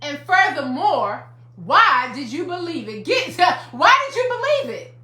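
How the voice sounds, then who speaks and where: A woman in her fifties gives a motivational livestream talk as a life coach, hammering her points home with repetition. Her voice is high and bright. The room sounds echoey. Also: sing-song, a little slow. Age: 50s. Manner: emphatic, repetitive, preacherly.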